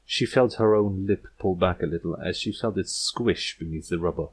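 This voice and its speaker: A man's voice: low voice, moderate pace, slightly rough. A man reading scripted fiction aloud as an audiobook narrator.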